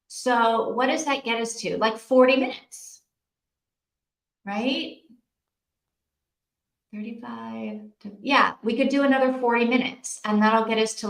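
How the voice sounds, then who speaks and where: A woman's voice: on the high side, fairly bright tone. A woman hosting a casual live stream, chatting informally and responding to viewers as she goes. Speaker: host of a live reading-sprint stream. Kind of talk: casual chat, reading out and answering viewer comments. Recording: roomy, echoing sound.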